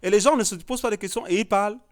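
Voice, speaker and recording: A man debates on a panel. His voice sits a bit high, and he pushes his points with emphatic, forceful declarations. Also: racing. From Cameroon. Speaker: artist and discussion guest. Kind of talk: heated panel discussion.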